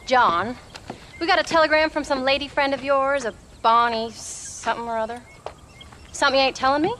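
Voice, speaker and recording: An actress playing a sharp-tongued, proud frontier wife and mother with an American frontier drawl, in a video game. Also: high voice, fairly quick, noisy background, natural voice. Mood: suspicious, needling. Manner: sassy, teasing. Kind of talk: video game dramatic dialogue.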